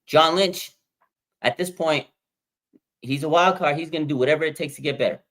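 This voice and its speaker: American guy around forty, live-stream host, fairly high voice, excitable and jumpy in pitch. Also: normal speed. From Las Vegas, Nevada, USA. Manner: excitable, with sudden pitch jumps. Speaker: livestream host. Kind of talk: casual live chat, reading fan comments.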